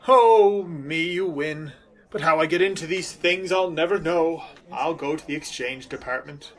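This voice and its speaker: A man's voice: on the high side, unhurried, faint music behind, close to an everyday voice. A man playing a befuddled, stammering middle-aged husband in a 1940s-style radio comedy. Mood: exasperated. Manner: stammering, bumbling, exasperated.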